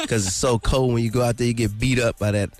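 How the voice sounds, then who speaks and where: A man's voice: medium pitch, fairly even intonation, fast, darkish tone. A man bantering casually as a radio guest, joking in a laid-back, slangy way. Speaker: guest, army sergeant and DJ. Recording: music behind the voice. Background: music.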